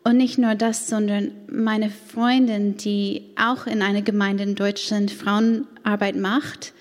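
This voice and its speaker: A woman's voice: medium pitch, flat delivery, slow pace. A woman in her thirties from the United States, a native English speaker with an American accent, giving an earnest, careful personal testimony in German at church, with a few grammar slips. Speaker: church women's ministry leader. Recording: long hall reverb.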